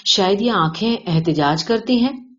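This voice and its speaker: A woman's voice: medium pitch, animated. A woman reading a literary short story aloud as scripted narration. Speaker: audiobook reader of a short story. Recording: dull, lo-fi sound.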